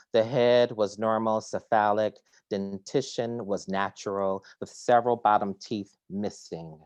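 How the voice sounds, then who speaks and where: A man reading his poems aloud at home over a video call, measured and deliberate, sometimes dropping to a hush. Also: fairly low pitch, clean tone, dry recording. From Detroit, United States. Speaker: poet. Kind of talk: poetry reading. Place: home, at a kitchen table.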